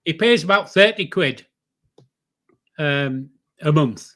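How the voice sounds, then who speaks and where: An older man from East Yorkshire with a softened Yorkshire accent, chatting and rambling on a livestream with a friend. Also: fairly high pitch, expressive, slow. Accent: Yorkshire, softened by years in the south. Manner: chatty, rambling, laughs often, reads out viewer names. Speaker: livestream co-host. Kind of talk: casual livestream chat.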